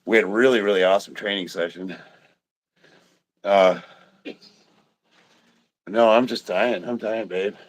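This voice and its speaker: Congested guy in his 50s chatting on stream, kinda low voice, out of breath, clears throat a lot. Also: fairly even intonation, a little slow, long pauses. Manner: casual, sweary, frequent throat clearing. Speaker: livestreaming powerlifter. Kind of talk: casual livestream chat.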